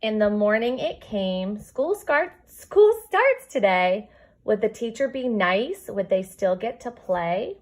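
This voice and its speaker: A woman with a mid-pitched voice reads a children's story aloud as a kindergarten teacher would. Her delivery is expressive and addressed directly to young children, moving between the rhyming text and chatty asides. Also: slow. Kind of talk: animated read-aloud of a children's picture book with chatty asides.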